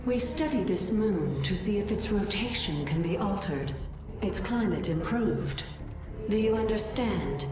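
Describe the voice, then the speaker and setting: A woman's voice: mid-range voice, lively intonation, a little slow, natural voice. A woman voicing a dignified alien colonist in a video game, earnest and reproachful, speaking in a formal, grave, pleading way. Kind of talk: game cinematic voice acting. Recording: echoey, far from mic, noisy background.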